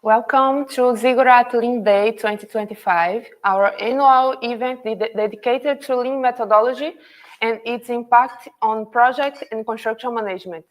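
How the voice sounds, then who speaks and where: A woman's voice: on the high side, moderate pace. A woman hosting an online event, giving a formal scripted welcome on a video call with a few small restarts. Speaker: event host, institutional manager.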